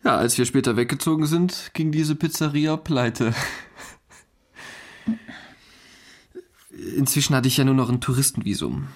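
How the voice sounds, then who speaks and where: A man's voice: mid-range voice, fairly quick, natural voice. A man playing a laconic, wry partner who recalls hard times in a dry, conversational way for a documentary radio drama.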